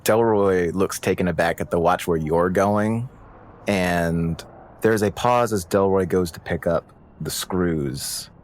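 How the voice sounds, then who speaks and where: Deep-voiced man improvising a story. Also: measured pace. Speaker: player narrating own character. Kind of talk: improvised tabletop game storytelling.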